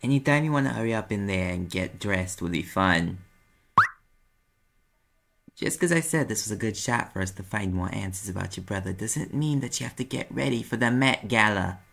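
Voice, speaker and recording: A man voicing a sarcastic demon private eye in an audio roleplay, with a New York accent and a gravelly, husky voice. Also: on the low side, expressive, normal speed, fairly bright tone, full character voice. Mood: sarcastic banter. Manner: sardonic, casual, swearing, defensive. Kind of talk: audio roleplay acting, conversational.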